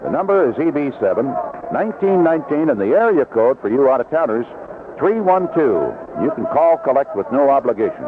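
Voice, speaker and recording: An American man calls a baseball game in the style of 1970s radio, with short, clipped phrases. His pitch is mid-range and swings in a lively way. The sound is narrow like an old AM set, with clear noise around him. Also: brisk. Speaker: baseball play-by-play announcer. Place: outdoor ballpark broadcast booth. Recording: dull, noisy vintage AM radio broadcast.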